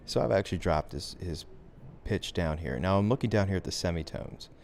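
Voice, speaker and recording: Low-voiced man giving a software tutorial. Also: brisk, creaky, slight background noise. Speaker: instructor. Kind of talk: software tutorial, talking through steps.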